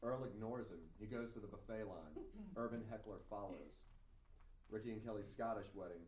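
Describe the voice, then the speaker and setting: A man's voice: on the low side, moderate pace, a little mellow. A man narrating scene directions at a screenplay table read. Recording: echoey room, distant, faint noise.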